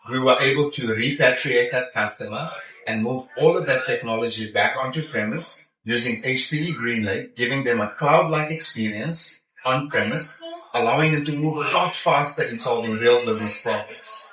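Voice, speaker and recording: A man's voice: medium pitch, normal speed, bright, forceful tone. A middle-aged man with a South African accent giving conversational interview answers as a technology executive, often checking in with a questioning "yeah?". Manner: frequent "yeah?" check-in tags. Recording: echoey, distant mic, busy crowd chatter.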